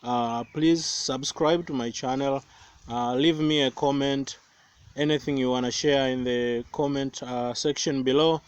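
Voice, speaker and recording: Slow Tanzanian man teaching guitar. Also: mid-range voice, fairly even intonation, clean tone, dry recording. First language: Swahili. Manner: slow, careful explaining with many fillers. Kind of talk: guitar tutorial. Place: outdoors by banana plants. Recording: faint guitar playing behind voice.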